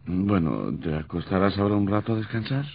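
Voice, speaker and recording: A worried, soothing husband in a vintage radio horror serial. His low, husky voice rises and falls widely as he coaxes and hesitates. The recording is muffled and old. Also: animated, brisk, full character voice. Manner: coaxing, hesitant, sighing.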